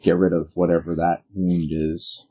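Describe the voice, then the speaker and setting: A man's voice: sits low, flat delivery, moderate pace, darkish tone, breathy edge, lo-fi sound. A man working as game master, narrating a tabletop role-playing game in an explanatory, instructive way.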